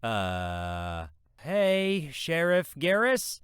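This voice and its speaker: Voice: medium pitch, very animated, very slow, fairly bright tone, smooth, no echo, studio quality, exaggerated voice. A voice actor playing a friendly, eager, dim-witted male police officer in a horror audio drama. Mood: anxious, calling out. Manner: eager, folksy, bumbling.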